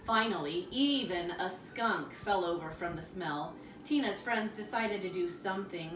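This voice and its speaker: A woman reading a children's story aloud in an expressive, rhythmic storytelling manner. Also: on the low side, a little slow, background noise. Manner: expressive, rhythmic with repetition and sound words. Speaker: storybook reader. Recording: roomy, distant mic, music behind. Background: music.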